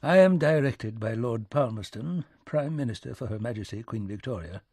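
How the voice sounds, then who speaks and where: A man playing a formal, reluctant British diplomat in an audiobook, speaking in measured, courteous sentences. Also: mid-range voice, lively intonation, a little mellow, slightly rough, no room sound, clean studio sound. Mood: stiff, official. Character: Lord Lyons, formal, reluctant middle-aged British diplomat.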